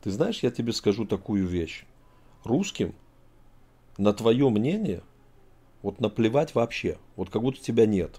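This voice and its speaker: A man's voice: lowish voice, sing-song, a little slow, long pauses, mellow. A middle-aged man from Tajikistan hosting a heated video-chat argument, lecturing and emphatic, talking over a video call from an indoor room. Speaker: host.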